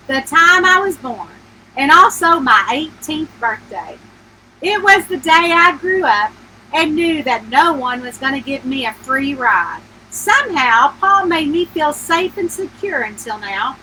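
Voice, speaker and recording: A woman with a high, bright voice reads a first-person story in an expressive bedtime-reading style. She acts out the dialogue as she goes. There is faint noise behind her. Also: measured pace, poor audio. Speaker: mystery author reading her own book.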